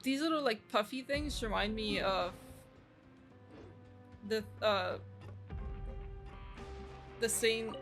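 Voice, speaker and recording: A woman streaming a game, with a fairly high voice. She reacts casually and muses out loud in a chatty way, with faint game music behind her. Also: takes it slowly, pauses often. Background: background game music.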